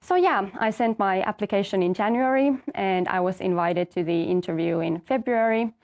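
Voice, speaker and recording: A young woman from Finland, a master's student, calmly explaining her path and the application steps in an info session. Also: medium pitch, moderate pace. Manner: orderly, explanatory, light fillers. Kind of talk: answering questions in an info session.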